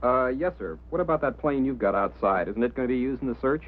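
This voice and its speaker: Actor as brisk pilot-mechanic, tinny old film. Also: male voice, medium pitch, expressive, close to an everyday voice. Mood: keen. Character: keen, respectful pilot and aircraft mechanic. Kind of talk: mid-century screen drama acting. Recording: thin old film soundtrack.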